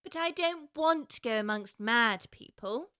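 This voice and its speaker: A prim, earnest young girl, polite and curious as she wonders aloud in a storybook. The voice is high and bright, recorded dry and close with no room around it. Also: lively intonation, measured pace, clear, resonant tone, no echo. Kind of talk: full-cast audiobook acting.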